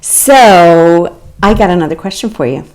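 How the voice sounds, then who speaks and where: A lower-pitched American woman hosts a casual podcast chat. She is enthusiastic, laughs readily and chimes in with quick agreement. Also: animated, darkish tone, poor audio. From United States. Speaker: podcast host.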